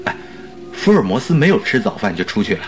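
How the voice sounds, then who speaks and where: Radio drama sidekick: talkative doctor, eager, expressive, swooping intonation, faint music underneath. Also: male voice, on the low side, quick pace. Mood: mild. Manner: theatrical, exclaiming, expressive. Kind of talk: Mandarin radio drama acting.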